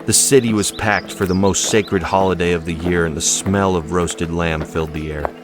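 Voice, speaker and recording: A man's voice: deep voice, steady tone, normal speed. A man reading a story as a dramatic audiobook narrator. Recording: music behind the voice.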